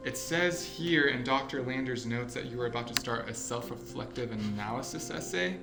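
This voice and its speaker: A man's voice: mid-range voice, lightly acted. A man playing a smug, goofy graduate teaching assistant addressing a class in a comedy web series, wry and showy. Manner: wry, showy, drawn-out delivery. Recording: echoey room.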